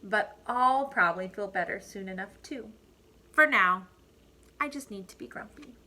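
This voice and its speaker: Woman acting grumpy, indignant chimp, wildly swinging pitch. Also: expressive, normal speed, pushed and bright, poor audio. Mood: resigned. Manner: indignant, emphatic protesting. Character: grumpy young male chimp in stubborn denial.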